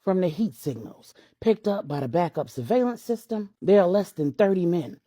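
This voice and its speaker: A woman's voice: on the low side, expressive, moderate pace, warm tone, no room sound, clean studio sound. A woman narrating a scripted story as a storyteller, with a dramatic, emphatic delivery.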